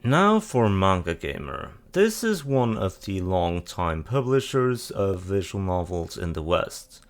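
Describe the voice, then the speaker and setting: A man narrating an informative video guide in a measured, matter-of-fact way as its host. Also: sits low, very animated.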